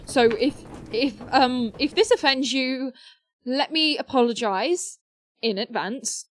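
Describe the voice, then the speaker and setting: A woman's voice: high voice, slow pace, a little hiss or hum. A woman playing a nerdy, awkward teenage girl in an audio drama, eagerly rambling through technical explanations with hesitant stops. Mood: awkward, apologetic.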